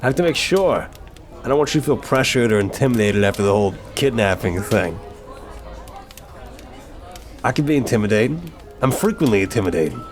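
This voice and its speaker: A man's voice: mid-range voice, expressive, fairly quick, pauses often, soft background music, natural voice. A man playing an apologetic, flirtatious mafia boss who talks to a date in a teasing, earnest, affectionate way, in an ASMR roleplay.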